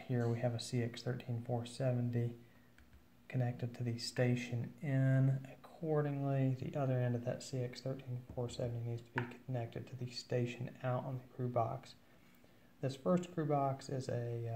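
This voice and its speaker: A man giving an informal, matter-of-fact instructional walkthrough of equipment, with hesitant pauses. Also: medium pitch, dark tone, a little hiss or hum. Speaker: presenter of an equipment demo. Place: indoors at a wooden table at home.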